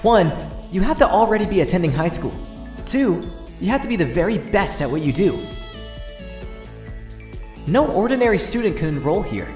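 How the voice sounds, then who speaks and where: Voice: mid-range voice, big pitch swings, moderate pace, long pauses, a little mellow, lightly acted. A performer voicing an earnest teenage schoolboy, narrating his own story dramatically as an inner monologue in an amateur game dub. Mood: earnest, wistful. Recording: roomy echo, music underneath.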